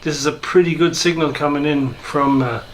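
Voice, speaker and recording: A man giving an informal, hesitant and unhurried demonstration of some hobby gear. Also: on the high side, fairly even intonation. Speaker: hobbyist video maker and shortwave listener.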